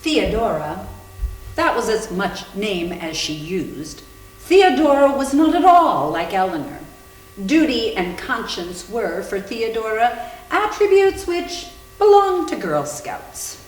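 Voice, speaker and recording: A woman's voice: a little high, big pitch swings, takes it slowly. A woman reading scripted story narration aloud expressively for a readers theater. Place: indoor room, live library reading. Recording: echoey room with faint hum.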